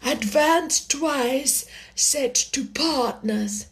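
A woman's voice: high-pitched, voice pushed up, heavily acted. A woman voicing a melancholy male turtle creature in a children's storybook, drawing out the words with wistful emotion. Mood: excited, wistful. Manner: slow, drawn-out, emotional delivery. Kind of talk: children's storybook character reading.

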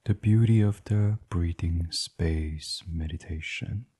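Very deep, husky man guiding sleep meditation. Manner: soothing, gentle, unhurried. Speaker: guided meditation host. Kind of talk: gentle guided meditation reading for sleep.

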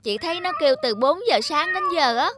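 A woman's voice: high-pitched, lively intonation, brisk, background noise. A woman playing a stubborn young country girl with a childlike manner in a cartoon folk tale. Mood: puzzled, concerned. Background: prominent background music.

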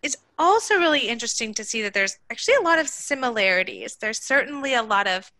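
A middle-aged woman hosting a podcast, talking in an affirming, conversational way. Her voice sits a little high and rings bright and forward. The sound is dry and close, with no room around it. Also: expressive, fast, no echo. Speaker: podcast host. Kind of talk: podcast interview. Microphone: remote call from her kitchen.

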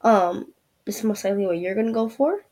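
A woman's voice: medium pitch, a little fast, a little mellow. A woman hosting her own show, talking through a screen-share review in a loose, rambling way with lots of fillers. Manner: rambling, loose, many fillers and trailing "yeah". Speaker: podcast host.

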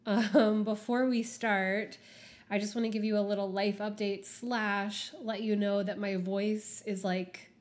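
A young woman hosting a casual solo podcast, chatty and full of "like" fillers, her voice stuffy and a little husky from a cold. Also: medium pitch, flat delivery, measured pace. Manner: chatty, frequent "like" fillers, laughs often. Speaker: podcast host.

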